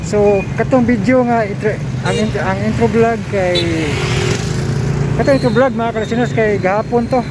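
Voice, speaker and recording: A woman with a Cebuano Filipino accent rambling casually and haltingly as a vlogger outdoors. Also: a little high, fairly even intonation. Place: outdoors by a street. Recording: loud traffic noise, outdoor street.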